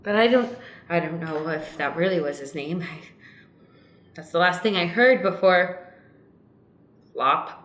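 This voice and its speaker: A woman's voice: on the low side, animated, a little slow, pauses often, a bit bright. A woman playing a naive, matter-of-fact monk who speaks casually and hesitantly in an improvised comedy radio play. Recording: roomy echo, faint music.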